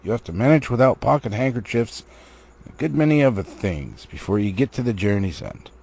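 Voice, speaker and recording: A man's voice: medium pitch, lively intonation, fairly quick, creaky, a little mellow, breathy edge. A man voicing a hearty, blunt dwarf adventurer from a storybook. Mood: brisk, reassuring. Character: hearty, bossy, no-nonsense male dwarf adventurer.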